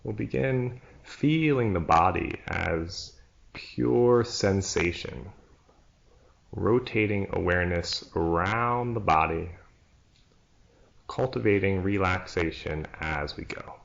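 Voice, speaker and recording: A man leading a guided yoga nidra relaxation as a teacher, in a hushed, soothing manner with long pauses between short phrases. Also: low voice, vocal fry. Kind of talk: slow guided relaxation meditation. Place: church room, live class. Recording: dull, muffled live recording.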